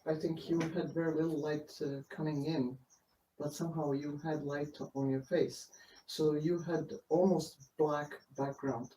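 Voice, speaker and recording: A man's voice: a little high, flat delivery, a little slow, warm tone, distant mic. A man chatting with a monk before a session, friendly and a bit hesitant. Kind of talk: casual chat. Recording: echoey room with faint noise.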